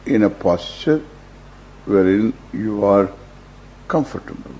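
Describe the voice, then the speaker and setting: Very slow, low-voiced older Indian man. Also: lively intonation, a little mellow. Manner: measured, halting short phrases with long pauses. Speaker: meditation teacher, swami. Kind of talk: guided meditation, slow instruction. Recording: dull lo-fi recording with faint hum.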